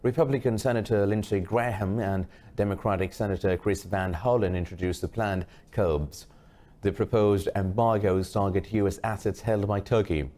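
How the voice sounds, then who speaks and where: A man reading a scripted news bulletin as anchor, formal and measured. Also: fairly low pitch, fairly even intonation, mellow.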